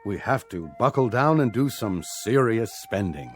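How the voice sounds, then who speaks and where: A man's voice: on the low side, animated, moderate pace, faint music behind, close to an everyday voice. An actor playing an eager, wheedling luxury salesman in a radio drama. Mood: pushy, upbeat.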